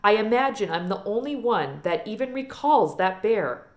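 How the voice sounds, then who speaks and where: Storybook role: woman as elderly small-town lady librarian, genteel, wistful, folksy, very animated delivery. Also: medium pitch, lightly acted. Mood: wistful, sad. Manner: folksy, emphatic, drawn-out storytelling.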